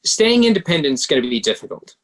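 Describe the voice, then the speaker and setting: A man playing a pragmatic, smooth-talking banker who coolly advises and persuades, in a table read of a stage musical. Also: on the high side, sing-song, fast, a bit bright, low-quality recording. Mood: persuasive, pressing.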